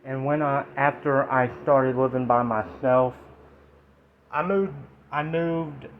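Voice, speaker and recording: A man in his twenties speaks in a nasal voice, with a halting, rambling delivery broken into short fragments. He sounds like a casual vlogger talking to his viewers. The audio is very thin and phone-like, with faint noise behind him. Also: mid-range voice, steady tone. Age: 20s. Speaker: YouTube vlogger reviewing products. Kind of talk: casual chat to camera, informal product review.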